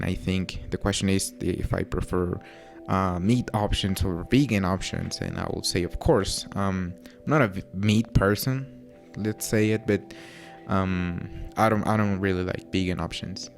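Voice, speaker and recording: A young man from Mexico in his late teens with a Mexican accent softened by British touches, chatting casually and answering viewer questions with a relaxed, self-deprecating manner. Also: low voice, measured pace, vocal fry. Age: young adult. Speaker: YouTuber. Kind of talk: casual Q&A to camera. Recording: faint music underneath.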